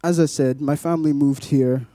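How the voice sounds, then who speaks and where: Mellow, higher-pitched young man speaking. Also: fairly even intonation, moderate pace, no echo, studio quality. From Glasgow, Scotland, born in Malawi. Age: 20s. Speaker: church guest speaker giving testimony, poet. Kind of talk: personal testimony to a congregation; rhythmic spoken-word poetry in places.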